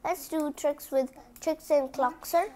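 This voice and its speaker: A woman hosting a children's picture book read-aloud, reading deliberately word by word and chatting to her listeners. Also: high-pitched, steady tone, a little mellow, a little hiss or hum.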